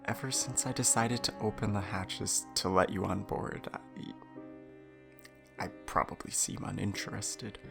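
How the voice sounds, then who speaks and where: Weary, slow-talking man, halting and guilt-ridden. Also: on the low side, creaky. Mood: weary, apologetic. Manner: hesitant, apologetic, confessional. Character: weary, guilt-ridden ailing male starship crew member confessing. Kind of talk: audio drama acting.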